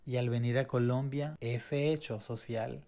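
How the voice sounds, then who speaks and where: A man's voice: mid-range voice, steady tone, a little slow, dark tone. Venezuelan-born guy on a faith podcast, earnest testimony, lots of restarts. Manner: earnest, reflective, with many restarts and repetitions. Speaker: co-host.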